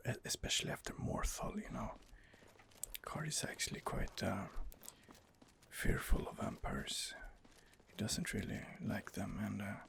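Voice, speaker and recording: A man giving soft, hesitant, whispered ASMR commentary over gameplay into a close home microphone, pausing often on fillers. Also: on the low side, creaky. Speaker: let's play host.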